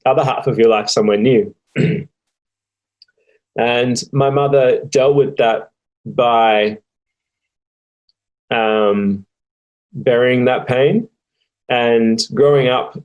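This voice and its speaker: A young man with an Australian accent, speaking slowly and reflectively with many pauses, like an interviewee telling his family's story. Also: medium pitch, a little mellow, dull audio. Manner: slow and thoughtful, with frequent pauses and fillers. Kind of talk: reflective personal testimony.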